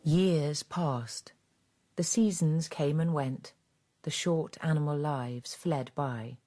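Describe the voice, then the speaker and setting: Grave storyteller for a radio play, low soft dark voice, measured delivery. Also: female voice, soft background music. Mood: sombre. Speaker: narrator. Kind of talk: scripted dramatic storytelling narration.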